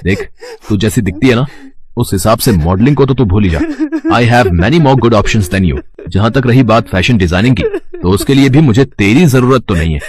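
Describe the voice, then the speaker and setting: Man playing an imperious, low-voiced male fashion designer. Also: lively intonation, moderate pace, a crowd in the background, natural voice. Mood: angry, scornful. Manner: scolding, imperious, mixes English into Hindi. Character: arrogant, short-tempered male fashion designer, rude and threatening. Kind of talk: dramatised moral-story acting.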